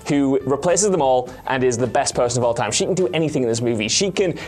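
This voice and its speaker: A man's voice: mid-range voice, expressive, fast, darkish tone, faint music behind. A man presenting in a casual film chat, enthusiastic and self-mocking, rambling as he gives his opinions. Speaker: video game show presenter.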